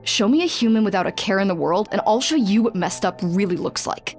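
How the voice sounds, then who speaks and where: A woman's voice: medium pitch, expressive, brisk, faint music behind, lightly acted. A woman voicing a dry, sardonic non-human assistant who files patient records, in a video game. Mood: wry.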